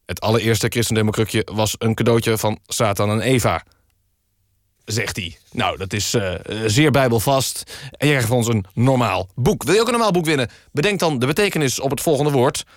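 Guy hosting a spoof radio magazine, deadpan, kinda halting, very dry close studio mic. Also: mid-range voice, dry recording. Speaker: radio show host. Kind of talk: mock-serious satirical radio magazine presenting. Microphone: close studio microphone.

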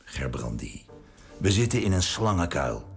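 A scheming male cabinet minister, talks in a sarcastic, insinuating way. His pitch swings widely as he needles his colleagues. Faint music plays under the scene. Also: sing-song, measured pace, creaky, breathy edge, heavily acted. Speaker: cabinet minister in wartime exile. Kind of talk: radio drama acting.

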